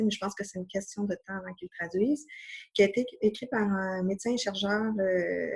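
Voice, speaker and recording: A woman's voice: mid-range voice, darkish tone, slightly rough. A woman in her forties with a Québécois accent, a health coach explaining things to camera in an earnest, casual way, with many hesitations. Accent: Québécois French. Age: 40s.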